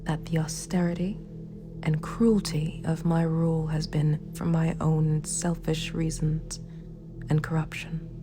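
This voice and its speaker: Low-voiced villain queen played by a woman, hushed and breathy, slow formal delivery, somber and sympathetic, ASMR monologue, spooky music behind. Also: flat delivery. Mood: somber, wry. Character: remorseful, sympathetic villain ruler, once a hero. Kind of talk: scripted ASMR roleplay monologue. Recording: clear background music. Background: dark, spooky background music.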